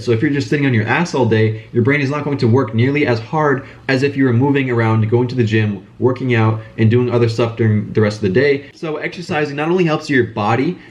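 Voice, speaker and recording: A young man with a cold, sounding nasal, giving casual advice straight to the camera in an earnest, repetitive way. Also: mid-range voice. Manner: brisk, earnest, repeats phrases for emphasis. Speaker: channel host giving business advice.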